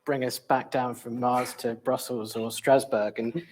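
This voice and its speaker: A man's voice: mid-range voice, flat delivery, measured pace, a little mellow, a little hiss or hum. A man moderating a panel, posing questions to the speakers in a conversational way.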